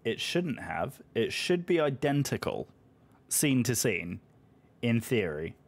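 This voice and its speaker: A British man, hoarse from a voice that is still recovering, chatting casually and effusively with viewers on a live stream. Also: medium pitch, sing-song, slow. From Britain.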